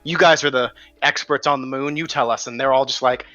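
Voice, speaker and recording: A man's voice: mid-range voice, fairly even intonation, fairly quick, pushed and bright, dull audio, soft background music. A man running a tabletop game as game master, casually narrating and recapping the story with some swearing.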